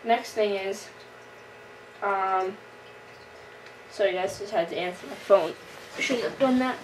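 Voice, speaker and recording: A young woman from Canada giving a casual, halting gear tour as a fishing vlogger, recorded at home on a camera microphone. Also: mid-range voice. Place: room at home with wooden floor. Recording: noisy amateur camera recording, handling clicks.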